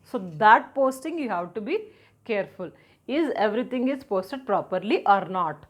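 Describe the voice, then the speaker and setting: She is a middle-aged woman with a somewhat high voice and an Indian accent. She speaks as a teacher giving an instructional lecture. She poses questions, answers them herself and repeats key phrases to drive each step home. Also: lively intonation, normal speed. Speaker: commerce teacher. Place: indoor room in front of a whiteboard. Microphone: clip-on lapel mic.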